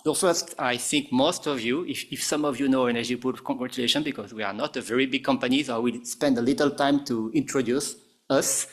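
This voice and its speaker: A man with a French accent presenting at a conference panel, halting often with fillers and restarts. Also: mid-range voice. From France. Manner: hesitant, many fillers and restarts.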